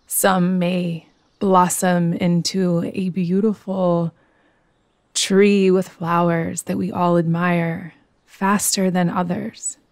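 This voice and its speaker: A woman teaching yoga nidra, guiding a meditation in a soothing, gentle way with long, unhurried pauses. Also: fairly low pitch, flat delivery, dry recording. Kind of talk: guided meditation.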